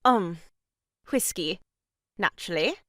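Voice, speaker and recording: A woman's voice: lowish voice, lively intonation, slow pace, a bit bright, no room sound, studio quality. A woman playing an arch, mock-pious young sister-in-law in a comic stage farce, scolding in a grand theatrical way. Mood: arch, teasing.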